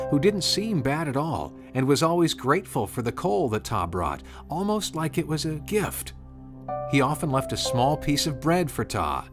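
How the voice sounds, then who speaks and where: A man narrating a scripted storybook tale as a storyteller. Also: medium pitch.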